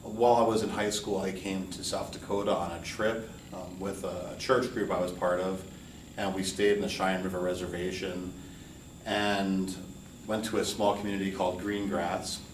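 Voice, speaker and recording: A middle-aged man with a low voice gives an informal class introduction in a measured, earnest way. He sounds some distance from the microphone in a roomy space with audible echo. He speaks as a college instructor addressing students. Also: level tone. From United States, New York City and Hawaii. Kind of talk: informal course welcome lecture. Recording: roomy echo, distant mic, faint noise.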